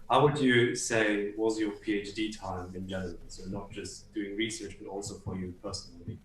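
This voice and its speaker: A man interviewing a guest, asking measured, plain questions. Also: fairly low pitch, fairly even intonation. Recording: roomy echo, faint background hum.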